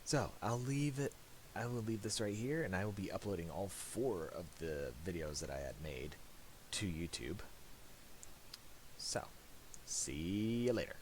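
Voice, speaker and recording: A man narrating a casual software tutorial, thinking aloud in a halting way. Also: low voice, lively intonation, rough recording. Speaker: tutorial presenter. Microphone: voice-over for a screen recording. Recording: hissy amateur voice-over.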